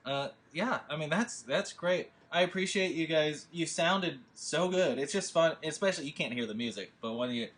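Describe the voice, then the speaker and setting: A man's voice: on the high side, normal speed, hardly any pauses, fairly bright tone, slight background noise. A man leading a table read, chatting casually from home over a video call, rambling with lots of fillers and restarts. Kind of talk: casual chat.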